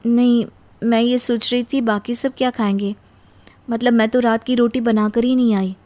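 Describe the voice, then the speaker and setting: A woman voicing a sweet, dutiful and grateful young daughter-in-law in an animated story, with an earnest, expressive delivery. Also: fairly high pitch, normal speed, lightly acted. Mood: earnest, concerned. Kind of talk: animated Hindi moral story voice acting.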